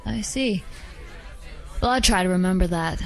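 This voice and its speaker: A woman voicing a polite, gentle female werewolf dancer who is secretly an assassin, in a hobby audio drama. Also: fairly low pitch, normal speed, gravelly, some chatter behind. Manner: polite, gentle, softly coaxing.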